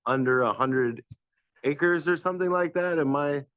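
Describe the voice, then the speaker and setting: A man's voice: a little high, moderate pace, clear, resonant tone, no echo, dull audio. A man on an advisory group asking tentative follow-up questions at a public meeting, with frequent fillers.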